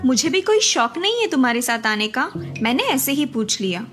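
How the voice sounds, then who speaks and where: A woman voicing a young first-year college student in a cartoon story, a younger sister who is quick and defiant with her sibling. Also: sits high, big pitch swings, music in the background. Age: young adult.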